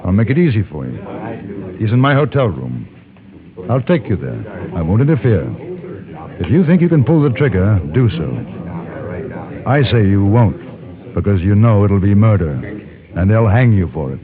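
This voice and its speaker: Grave frontier gentleman narrator, deep expressive voice, literate, measured, muffled vintage radio. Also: a crowd in the background. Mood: cool, firm. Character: composed, sombre gentleman narrator of frontier West. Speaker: narrator and lead character. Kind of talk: old-time radio dramatic narration.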